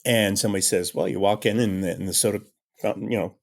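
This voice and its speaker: A man's voice: on the low side, fairly even intonation, fast talker, creaky. A man answering as an interview guest, conversational and enthusiastic, repeating phrases for emphasis. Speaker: interview guest, audio drama creator.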